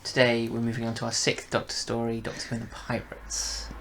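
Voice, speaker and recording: A man with a gravelly, creaky voice hosts a relaxed review discussion. He steers the chat with questions and prompts. There is some faint noise in the background. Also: mid-range voice, a little fast. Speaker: host of an audio drama review show. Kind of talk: casual review chat with a co-host.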